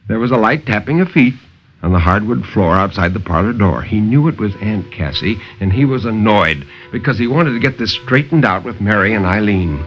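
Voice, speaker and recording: A man's voice: lowish voice, lively intonation, a little fast, soft background music. A man narrating as a storyteller in dramatic 1950s radio horror style. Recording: muffled 1950s radio broadcast.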